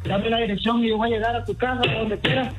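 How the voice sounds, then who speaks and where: A man's voice: very high voice, flat delivery, quick pace, over loud music. A man from Venezuela taking a prank phone call, dry and unbothered, firing wisecracks back. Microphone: phone line.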